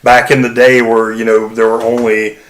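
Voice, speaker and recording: Male co-host, mid pitch, rambling casual delivery, echoey room sound. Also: flat delivery, moderate pace, a bit bright. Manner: rambling, full of fillers like "you know" and "like". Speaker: podcast co-host. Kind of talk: casual podcast chat.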